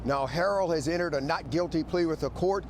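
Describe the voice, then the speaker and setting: Male TV investigative reporter, American accent, fairly high-pitched, delivering broadcast news copy. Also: a little fast, a little hiss or hum.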